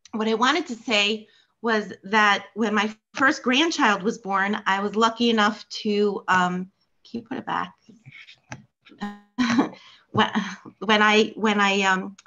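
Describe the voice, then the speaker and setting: A woman's voice: mid-range voice, dull audio. An older American woman with a Philadelphia accent, chatting on a video call from her home in a rambling way, full of fillers and restarts. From United States. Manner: rambling, warm storytelling with many fillers and restarts. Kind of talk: casual chat. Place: home room with bookshelves.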